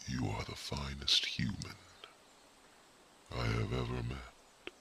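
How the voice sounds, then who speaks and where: A man voicing a wise, devoted male mage who reads minds, speaking in a soft, formal whisper for an ASMR roleplay. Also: rumbling low, slow pace, gravelly, a little hiss or hum, heavily acted. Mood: tender.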